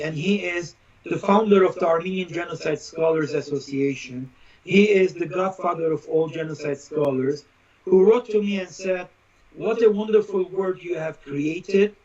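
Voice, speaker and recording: A man with a fairly high voice, heard through muffled lo-fi live stream audio. He talks as an opinionated interview guest, stating things emphatically and stacking his points in repeated lists. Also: a little mellow, husky. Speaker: interview guest, social critic.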